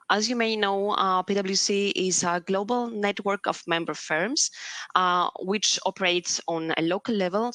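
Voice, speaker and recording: A woman's voice: medium pitch, a bit bright, no echo. A woman with a Greek accent presenting a corporate career webcast, fluent with frequent filler sounds. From Greece.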